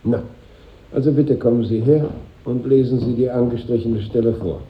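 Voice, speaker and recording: An actor playing an exacting schoolmaster in a 1950s radio drama, speaking in a measured, formal, pedantic way. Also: male voice, mid-range voice, steady tone, lightly acted. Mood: dry, critical. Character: exacting schoolmaster handing back a class essay. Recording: muffled, roomy old radio recording.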